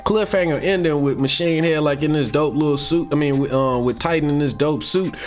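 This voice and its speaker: An American man giving casual, unscripted fan commentary in a slangy, rambling, excitable way, like an online video creator. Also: a little high, fairly quick. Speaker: YouTube commentator on comics and animation. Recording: music playing behind the voice. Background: background music.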